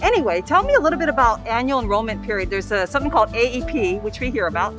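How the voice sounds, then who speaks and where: A woman's voice: a little high, very animated, very fast. Woman TV host, Hawaiian accent, chatty and joking, music bed. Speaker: show host. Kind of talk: TV lifestyle show hosting.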